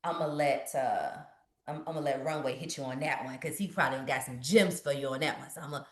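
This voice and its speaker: A woman from Richmond, Virginia, a business coach answering questions in a lively, preachy, motivational way. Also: a bass voice, a little fast, reverberant. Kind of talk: live webinar Q&A.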